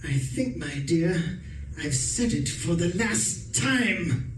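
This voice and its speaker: A man's voice: on the high side, expressive, takes it slowly, slight background noise. A man acting a henpecked, secretly murderous husband in a radio suspense play, hesitant and deferential. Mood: grimly reflective. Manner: hesitant, deferential, stammering under questioning. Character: henpecked, scheming English husband, nervously meek, secretly murderous. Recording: echoey room, distant mic, music behind.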